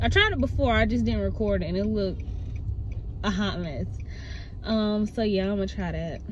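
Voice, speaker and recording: A woman's voice: medium pitch, moderate pace. A young woman, chatting casually into her phone while she drives, rambling with lots of fillers. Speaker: vlogger. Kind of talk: casual chat while driving. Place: in a car on the road. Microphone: phone mounted in the car. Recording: dull phone audio, loud road noise.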